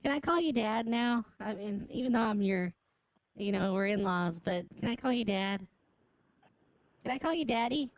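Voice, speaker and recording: A woman's voice: a little high, expressive, normal speed, dry recording. A woman making a prank call, brash and profane, bickering back at every line. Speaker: prank caller.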